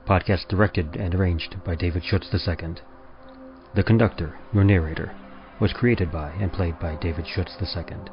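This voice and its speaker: A deep-voiced man reads scripted credits as a podcast announcer. His delivery is measured and formal, with music playing clearly behind a slightly muffled recording. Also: steady tone. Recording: muffled sound under music.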